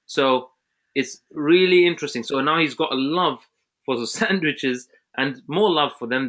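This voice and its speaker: A man's voice: mid-range voice, sharp tone. A man from Zambia hosting an online webinar, talking casually with many restarts and fillers. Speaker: webinar host.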